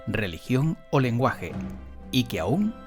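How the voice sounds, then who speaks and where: A man's voice: medium pitch, big pitch swings, slow. Male presenter, podcast intro and interview delivery, music behind. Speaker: podcast host. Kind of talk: scripted intro narration and interviewing.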